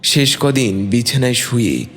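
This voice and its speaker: Male narrator for audio fiction, medium pitch, lively intonation, soft music underneath. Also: measured pace. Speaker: story narrator. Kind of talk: scripted dramatic narration. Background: soft background music.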